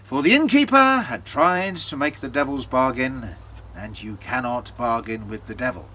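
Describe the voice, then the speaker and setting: A man telling a folktale as a storyteller, in a theatrical, deliberate way with dramatic pauses. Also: medium pitch, animated, bright, forceful tone.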